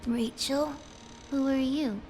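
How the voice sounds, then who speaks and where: A woman's voice: sits high, steady tone, takes it slowly, warm tone. A woman playing a polite but guarded, precocious little girl in a video game, prim and careful. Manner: prim, careful, slightly hesitant.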